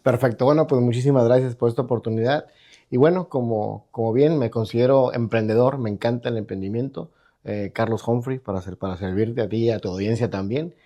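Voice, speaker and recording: Middle-aged Mexican man, Mexican Spanish accent, mellow tone; podcast guest telling his story, loose and rambling with restarts. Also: mid-range voice, a little fast. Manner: rambling with many restarts and tag questions. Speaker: guest entrepreneur. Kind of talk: casual interview talk. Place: his own podcast studio.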